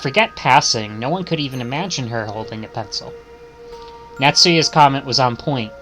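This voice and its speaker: A man's voice: mid-range voice, expressive, normal speed, bright, forceful tone, faint music behind. A man reading visual novel text aloud as a streamer, stumbling and correcting himself, with casual asides.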